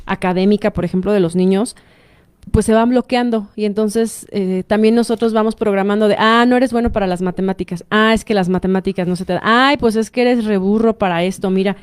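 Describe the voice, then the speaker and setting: Female radio host, clear resonant tone, engaged and chatty with the guest. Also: medium pitch, darkish tone, no echo. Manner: engaged, frequent affirmations, chatty. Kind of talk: radio interview.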